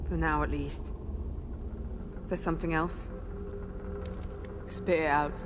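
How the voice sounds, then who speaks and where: A woman's voice: low-pitched, level tone, slow pace. A woman voicing a hard, terse gang leader in a grim video game cutscene. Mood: terse, commanding. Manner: terse, commanding. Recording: music bed under the voice.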